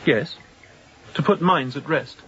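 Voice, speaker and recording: An actor playing a composed, courteous young sea captain in a radio drama, speaking in a measured, polite tone with quiet authority. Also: male voice, on the high side, lo-fi sound, very noisy. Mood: calm, firm. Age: young adult.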